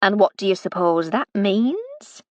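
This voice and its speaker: A woman voicing a cheery, motherly older housekeeper-cook who is chatty and warmly bossy. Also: mid-range voice, wildly swooping pitch, measured pace, no echo.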